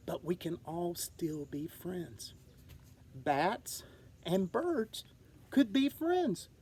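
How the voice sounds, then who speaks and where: Children's read-aloud narrator, gentle and simple in explaining, medium pitch, leisurely and highly animated. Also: slightly rough. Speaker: storyteller reading aloud to children. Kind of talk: expressive picture-book narration.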